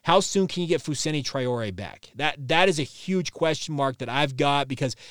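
Clean, higher-voiced man hosting sports podcast. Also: animated, normal speed, a bit bright, no echo, clean studio sound. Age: 30s. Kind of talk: solo sports news commentary, conversational.

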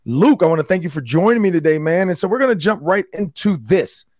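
A man hosting a sports podcast, talking in a loose, upbeat way with a hoarse voice. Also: high voice, lively intonation, a little fast, a little mellow, no echo, studio quality. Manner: upbeat, loose, repeats words. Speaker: podcast host. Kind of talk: casual sports talk.

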